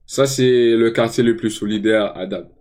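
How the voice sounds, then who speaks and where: A young man with a Quebec French accent, commenting casually on a live stream and switching into English slang with animated outbursts. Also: mid-range voice, normal speed. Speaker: streamer reacting to rap videos.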